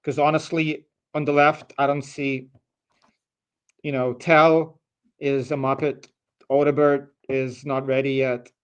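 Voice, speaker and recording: A man's voice: medium pitch, little pitch movement, unhurried, clear, resonant tone. A man talking casually as a phone-in guest on a football show, hedging and matter-of-fact as he argues. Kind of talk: casual football debate chat.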